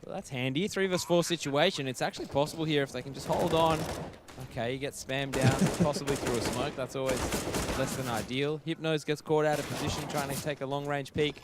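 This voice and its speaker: A man's voice: a little high, steady tone, brisk, very noisy. A man giving chatty color commentary, hedging his analysis with "I mean" and "look".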